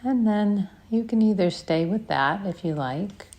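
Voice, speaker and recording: A woman leading a guided meditation, speaking softly and gently with long pauses between phrases. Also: medium pitch, animated, highs cut off. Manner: slow, soft, gentle guidance with long pauses. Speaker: meditation teacher.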